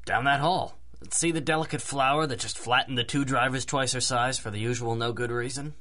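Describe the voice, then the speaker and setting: A man playing a gruff, blunt, wisecracking taxi dispatcher in a 1930s-style radio drama. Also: mid-range voice, fairly even intonation, a little fast, bright, forceful tone, lightly acted. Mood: wry.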